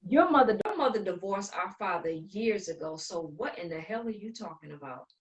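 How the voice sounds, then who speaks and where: A woman's voice: mid-range voice, expressive, normal speed, natural voice. A woman playing an exasperated, sarcastic eldest sister, bossy and condescending with her endearments, in a dramatic play reading. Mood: incredulous. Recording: distant from the microphone.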